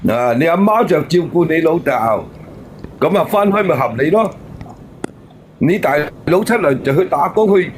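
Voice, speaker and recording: A man's voice: a little high, lively intonation, moderate pace, slight background noise. A man throwing blunt, dismissive and sometimes coarse remarks as co-host of a call-in show. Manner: dismissive, coarse, matter-of-fact.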